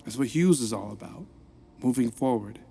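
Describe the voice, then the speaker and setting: An actor playing an earnest reformed supervillain turned counselor, speaking gently and encouragingly while narrating an audio drama. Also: male voice, medium pitch, animated, normal speed, vocal fry, slightly rough.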